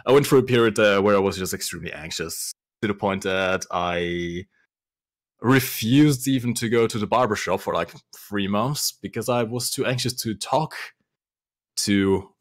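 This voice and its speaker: A young man from Germany, a native German speaker, answering interview questions thoughtfully, with pauses and restarts. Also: on the low side. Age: young adult. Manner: thoughtful, hesitant, with frequent fillers and restarts. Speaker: interview guest. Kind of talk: casual interview.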